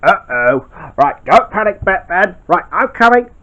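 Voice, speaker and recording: Someone doing a bumbling, bright-voiced dad. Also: medium pitch, sing-song, a little slow. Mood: puzzled. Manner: rambling, hesitant, full of ums. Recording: dull lo-fi home recording.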